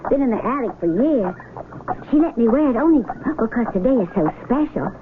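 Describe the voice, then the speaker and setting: A woman's voice: a little high, animated, brisk, close to an everyday voice. An actress playing a friendly, chatty country girl of about twenty in a radio drama. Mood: pleased, chatty. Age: young adult. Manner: bright, neighborly chatter. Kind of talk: 1970s radio drama acting. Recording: noisy, muffled old radio recording.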